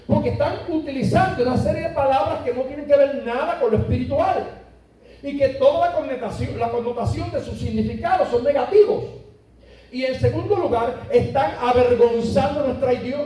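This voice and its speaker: A man preaching a sermon to a congregation, stressing key words by slowing down and rising in emphatic bursts. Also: very high-pitched, dark tone. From Puerto Rico. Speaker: pastor. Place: church sanctuary. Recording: echoey church heard from a distance.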